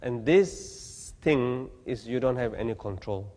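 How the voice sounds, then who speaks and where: A man from Tibet, giving a Buddhist teaching in halting, plain English with gentle humor. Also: mid-range voice, long reverb tail. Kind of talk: dharma talk to an audience. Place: talk venue with audience.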